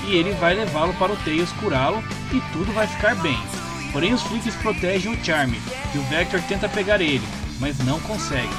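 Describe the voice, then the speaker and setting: A man with a Brazilian accent reading a scripted retelling of a comic story as narrator. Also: high-pitched. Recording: music bed behind the voice. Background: clear background music.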